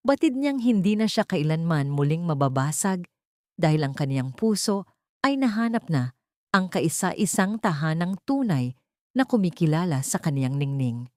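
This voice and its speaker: Woman, lowish pitch, dry close sound, dramatic audio story narration, earnest and sentimental. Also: a little mellow, dry recording.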